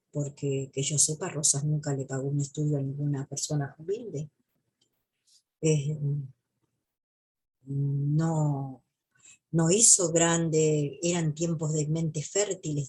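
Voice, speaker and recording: A woman with a Buenos Aires province accent talks history to her viewers. Her voice sits low for a woman and has a husky, rough grain. She is reflective and emphatic, circling back on phrases to give them weight. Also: warm tone, rough recording. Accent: Argentine, Buenos Aires province. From Buenos Aires province, Argentina. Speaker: history video presenter. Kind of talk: informal history commentary to viewers.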